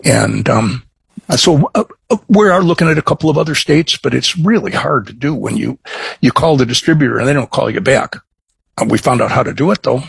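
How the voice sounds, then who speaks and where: A middle-aged man from Chicago with a Chicago accent, chatting as a guest in an interview, halting with many restarts and fillers. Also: medium pitch, lively intonation, gravelly, breathy edge, no echo. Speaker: craft distiller guest. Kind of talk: casual interview chat.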